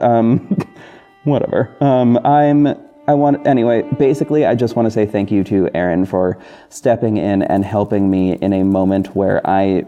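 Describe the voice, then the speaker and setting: A man hosting a casual, unscripted podcast update, talking in a rambling, halting and effusive way. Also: fairly low pitch, mellow. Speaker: podcast host and audio drama creator. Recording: dull muffled sound with music bed. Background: backing music.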